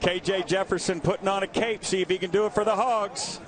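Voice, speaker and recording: A man's voice: high-pitched, fairly even intonation, a little fast, noisy background. A man reporting from the sidelines of a live college football game, laid-back with joking asides.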